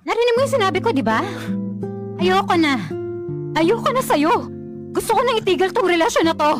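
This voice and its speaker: A woman's voice: very high-pitched, lively intonation, a little fast. An actress playing an irritated young girlfriend in a radio drama, curt and dismissive. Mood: breaking up. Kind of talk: Filipino radio drama acting. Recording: dry studio voice with music bed.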